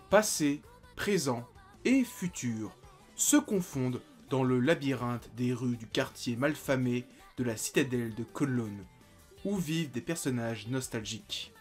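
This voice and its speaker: A man in his late twenties gives a casual manga review, his voice at an ordinary middle pitch. He talks animatedly and now and then jumps up into loud, excited exclamations. Faint music plays softly behind him. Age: 20s. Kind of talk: enthusiastic video review.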